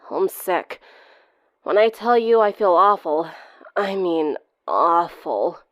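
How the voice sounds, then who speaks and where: Woman doing a tired sick cartoon teen girl, throaty and croaky, dry close sound. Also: on the low side, sing-song, slow, fairly bright tone, dry recording, dull audio, exaggerated voice. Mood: weary. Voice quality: gravelly, throaty. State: sounding ill. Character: sick, weary teenage girl on the phone.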